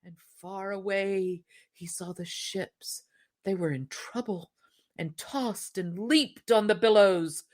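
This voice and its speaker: A woman narrating a fairy tale as an expressive storyteller. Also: lowish voice. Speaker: storyteller, podcast reader.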